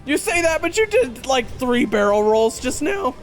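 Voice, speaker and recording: A man's voice: very high voice, big pitch swings, normal speed, long reverb tail, faint music behind. A man playing a cheeky, anxious young hero who narrates a fantasy audio drama with casual, wry asides. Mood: panicked.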